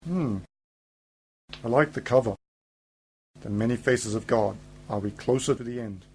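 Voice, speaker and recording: A man's voice: medium pitch, a little slow, background noise, natural voice. A man playing an eccentric, wry time-travelling uncle figure in an amateur radio play, with dry, teasing, philosophical delivery. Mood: dry, pensive.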